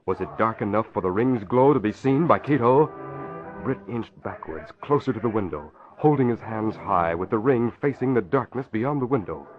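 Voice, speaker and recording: A man's voice: on the low side, animated, moderate pace, over music. A man narrating as an announcer in dramatic, emphatic 1940s radio style. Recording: muffled old radio broadcast.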